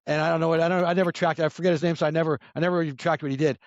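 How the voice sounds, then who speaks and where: Guest spot: raspy fifties man, rapid rambling storyteller, dry dull sound. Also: on the high side, steady tone, no room sound. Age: 50s. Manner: rambling, many restarts and self-corrections. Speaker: podcast guest. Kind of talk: casual storytelling chat.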